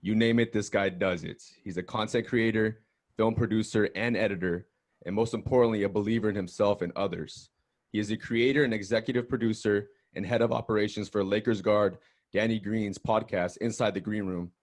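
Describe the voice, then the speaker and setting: A man's voice: on the low side, steady tone, fairly quick. A man hosting a podcast, talking in a friendly, upbeat way with casual slang. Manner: friendly, upbeat, uses slang like bro and dope. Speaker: podcast host. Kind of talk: casual interview talk.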